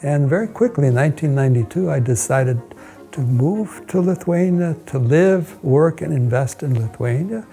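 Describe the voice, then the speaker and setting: American male, hotel owner telling his story on camera; slightly high pitch, gravelly edge, measured phrasing. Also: expressive, dark tone, soft background music. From United States. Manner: measured, halting delivery in short phrases. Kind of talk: promotional interview, personal recollection. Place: indoors, hotel suite.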